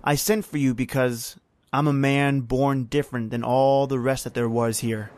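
An actor playing a hateful old servant in a radio horror drama, speaking with deliberate menace. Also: male voice, medium pitch, fairly even intonation, a little mellow, close to an everyday voice. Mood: bitter, hateful. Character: bitter old male servant consumed by lifelong hatred.

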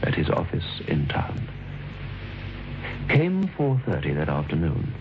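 A man narrating a scripted radio story in a measured, formal, dryly understated way. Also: rumbling low, huge pitch swings. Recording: dull old radio recording. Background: music.

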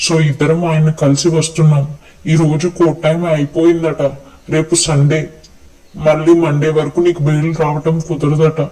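Heard at a distance from the mic, an actor as a dependable young male aide. He is matter-of-fact and reassuring, with little rise and fall and a slightly high pitch, in web drama style. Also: flat delivery, moderate pace, mellow, natural voice. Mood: explaining. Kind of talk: web series drama acting. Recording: distant from the microphone.

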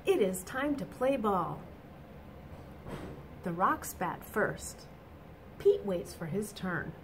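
A middle-aged woman reading a picture book aloud to children, expressive and deliberate, with emphatic exclamations, in a small room. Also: medium pitch, a little hiss or hum. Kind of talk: animated read-aloud storytelling for children. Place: small indoor office or classroom.

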